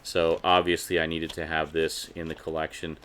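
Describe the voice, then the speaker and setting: A man's voice: a bass voice, completely flat delivery, normal speed, a bit bright, smooth. A man hosting a casual, unscripted collector video, talking in a chatty, informal way with conversational asides. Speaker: video host reviewing a DVD collection.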